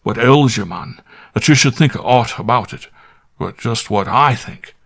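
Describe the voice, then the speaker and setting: A man voicing a middle-aged country squire who speaks in loud, pleading rustic dialect. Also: mid-range voice, moderate pace, vocal fry, voice pushed up, natural voice. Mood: gruff coaxing.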